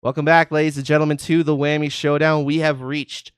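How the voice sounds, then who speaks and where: A man's voice: a little high, level tone, a little fast, clean tone, dry recording, studio quality. A man hosting an online game show in a showy announcer style, a little congested from a summer cold. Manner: showy announcer patter, calls out totals and ladies and gentlemen.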